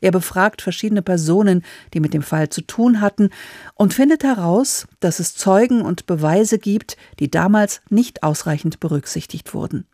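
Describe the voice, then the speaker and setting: A female radio presenter with a soft, dark timbre reads a scripted introduction. The sound is close and dry. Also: fairly low pitch, lively intonation, moderate pace, no room sound.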